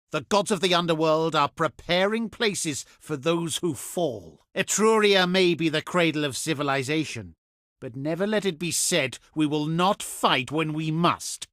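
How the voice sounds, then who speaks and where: Game diplomat role: proud, refined Etruscan envoy, condescending and formal, very animated pitch, dry close sound. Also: no echo. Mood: solemn menace. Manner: formal, measured, condescending theatrical delivery. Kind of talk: scripted video game diplomacy voice acting.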